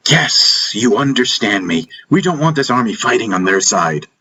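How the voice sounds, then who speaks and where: Man acting an urgent, forceful stranded major. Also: mid-range voice, very animated, slightly rough, full character voice, rough recording. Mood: insistent, persuasive. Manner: insistent, emphatic, halting when pleading. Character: urgent, commanding male major, stranded soldier bargaining hard. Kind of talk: dramatic audiobook dialogue. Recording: music behind the voice.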